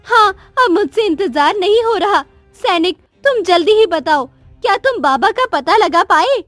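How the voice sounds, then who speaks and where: Very high-voiced remorseful queen played by a woman. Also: animated, normal speed, a bit bright, dry recording, soft background music. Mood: anxious hope. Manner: earnest, pleading, dramatic. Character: remorseful young queen, anxious mother of a cursed daughter. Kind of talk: Hindi cartoon story dialogue.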